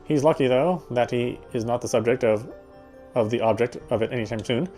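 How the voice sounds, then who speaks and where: Male game streamer narrating a visual novel: mid-pitched, expressive read, the odd stumble, music behind. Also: brisk. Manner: expressive reading with stumbles over words.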